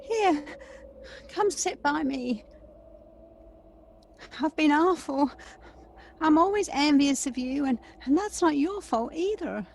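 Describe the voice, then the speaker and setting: A woman's voice: sits high, animated, slow, gravelly, pauses often, a little mellow, slight background noise, full character voice. An actress playing an emotional grown woman in an audio play, a wistful sister who envies her sibling. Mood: remorseful.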